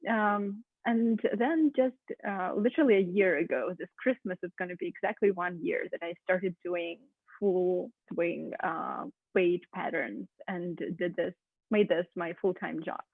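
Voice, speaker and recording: Guest interview: woman, relaxed filler-heavy chat, husky voice, phone-quality line. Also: lowish voice, unhurried, no room sound. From Ukraine. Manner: chatty, many ums and uhs, laughs often. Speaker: guest, knitwear designer. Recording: tinny phone-like video call.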